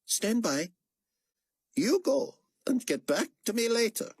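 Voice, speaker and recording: A man's voice: extremely high pitch, big pitch swings, slow pace, darkish tone, slightly rough, dry recording, clean studio sound, pitched above the performer's own voice, exaggerated voice. A man narrating an audiobook voices a young male tech operative in a raised, clipped tone. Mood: wry, brisk.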